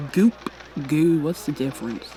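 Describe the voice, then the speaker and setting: A woman's voice: low-pitched, very animated, slow pace, noisy background, exaggerated voice. Woman putting on a mocking, sneering police colleague. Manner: mocking, drawling taunts. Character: sneering human police colleague, taunting bully. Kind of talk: ASMR roleplay acting.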